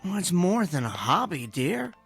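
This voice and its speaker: A nerdy, browbeaten engineer husband who is eager to keep the peace. He speaks hesitantly, with stammers and very animated pitch. It is a radio drama scene with light music underneath. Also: moderate pace, gravelly, heavily acted. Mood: defensive, hesitant. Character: meek, henpecked, geeky engineer husband.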